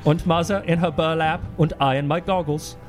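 A man playing a solemn, gloomy German cultural ambassador with a German accent, giving a dramatic comic reading and pedantic deadpan explanations. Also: fairly high pitch, normal speed, close to an everyday voice. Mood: ominous. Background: music behind the voice.

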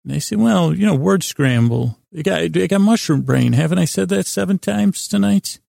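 A man hosting a podcast, delivering a meandering, improvised bedtime monologue in a halting way, repeating himself and trailing off mid-thought. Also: high-pitched, animated, mellow, no room sound, studio quality. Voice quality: gravelly, creaky voice. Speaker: podcast host.

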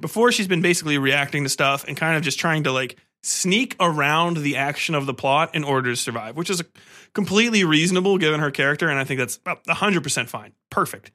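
Guy podcasting about a movie, bright voice kinda high, rambly and excited, swears a lot. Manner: rambling, halting, repeating himself; animated, enthusiastic. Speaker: podcast host. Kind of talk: casual film review monologue.

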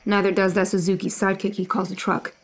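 A woman playing a sharp-tongued, scheming fugitive in an audio drama, sarcastic and clipped. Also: medium pitch, flat delivery, quick pace, background noise, lightly acted. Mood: urgent, decisive. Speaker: actress in audio fiction. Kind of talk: serialized audio drama acting.

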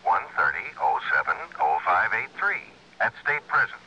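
A businesslike American insurance caller in an old radio drama. His telephone voice is very sharp and swoops in pitch, clipped and emphatic. The narrow recording carries some noise. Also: big pitch swings, moderate pace, full character voice. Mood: brisk, urgent. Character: businesslike insurance company man calling by telephone. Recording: noisy band-limited vintage radio phone sound.

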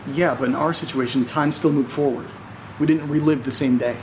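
A man's voice: medium pitch, steady tone, fairly quick, darkish tone, a little hiss or hum, close to an everyday voice. A man playing an anxious, sarcastic friend in a naturalistic audio drama, exasperated and casual.

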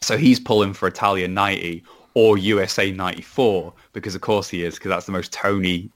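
Welsh man, low voice, rambling casual guest, lots of restarts. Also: fairly even intonation, fairly quick, fairly bright tone. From Wales. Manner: rambling, many restarts and laughs. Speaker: podcast co-host, guest. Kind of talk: casual chat.

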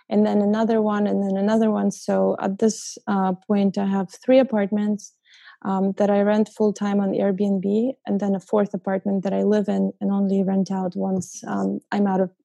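A young woman from Ukraine, a guest in a casual interview, talking with many ums and uhs and soft laughs. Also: mid-range voice, vocal fry. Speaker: guest, short-term rental host.

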